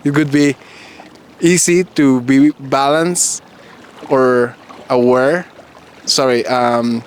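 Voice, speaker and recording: A man whose first language is Spanish, hosting a relaxed conversation and speaking thoughtfully in English while searching for words. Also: medium pitch, slow pace, slight background noise. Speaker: podcast host. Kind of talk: casual conversation.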